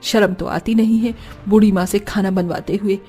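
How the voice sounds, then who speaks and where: A woman's voice: mid-range voice, fairly even intonation, moderate pace, mellow, soft background music, voice pushed down, lightly acted. A woman voicing a scolding, threatening, exasperated elderly mother in a cartoon. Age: older.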